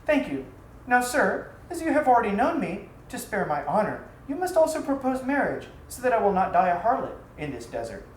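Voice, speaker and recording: A woman's voice: a little high, very animated, brisk, darkish tone. Echoey, earnest freed slave woman played by a reader. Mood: firm, composed. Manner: formal, earnest, persuasive. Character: devoted, practical freed slave woman, calmly persuasive. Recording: echoey room, faint background noise.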